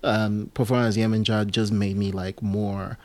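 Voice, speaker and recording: A man hosting a casual interview podcast, rambling with many fillers and restarts and lively asides. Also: fairly low pitch, measured pace, no echo. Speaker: podcast host.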